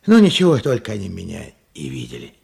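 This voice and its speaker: An actor playing a forgetful, bewildered old grandfather in a radio play, husky and gravelly, muttering and halting as he talks. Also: low voice, wildly swooping pitch, a little mellow, exaggerated voice. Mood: muttering, wistful. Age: older. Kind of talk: Soviet radio drama acting.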